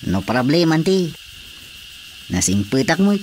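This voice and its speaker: An actor playing an eager, hesitant young brother-in-law in an Ilocano radio comedy drama, with an Ilocano accent. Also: male voice, a little high, sing-song, unhurried, darkish tone. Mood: eager, deferential. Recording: faint background noise with crickets.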